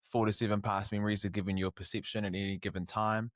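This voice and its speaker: Young male host, low register, loose and hesitant delivery, dry sound. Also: level tone, dry recording. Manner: halting, many restarts and fillers. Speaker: podcast host. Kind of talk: casual interview.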